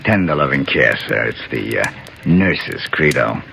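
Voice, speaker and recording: An actor playing a tough, wry American cop-turned-lawyer, clipped and hard-boiled, in a 1970s radio drama. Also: male voice, extremely low pitch, expressive, measured pace, creaky, very noisy, heavily acted. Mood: cool, sardonic. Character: tough, wry cop-turned-lawyer, loyal right-hand man of a senator. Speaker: narrator and character in a radio mystery. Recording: vintage radio broadcast with music underneath.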